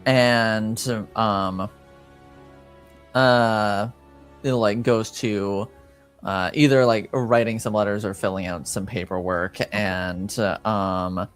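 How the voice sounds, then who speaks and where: A man runs a tabletop game as game master, improvising his narration in a smooth, clear, fairly low voice. His phrasing is halting and hesitant, and he takes his time, with faint music underneath. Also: fairly even intonation.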